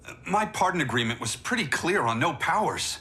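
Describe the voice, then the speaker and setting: An actor playing an earnest young male speedster hero in a video game cutscene, with quick, self-deprecating lines. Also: on the high side, big pitch swings, bright, forceful tone. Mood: wry.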